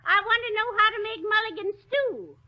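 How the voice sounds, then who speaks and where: Brash old lady from 30s radio comedy, super high voice, sassy slang, muffled old recording. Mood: cheeky. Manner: slangy, sassy, quick to take offense. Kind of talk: 1930s radio comedy acting. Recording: dull, lo-fi 1930s radio broadcast.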